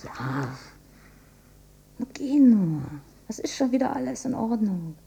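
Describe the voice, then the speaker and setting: An actress playing a frail older mother in a radio drama, weak and husky, long-suffering and self-effacing. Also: a little high, sing-song, slow, warm tone, full character voice. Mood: weakly reassuring. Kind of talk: intimate radio drama monologue and dialogue. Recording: dull cassette copy of radio play.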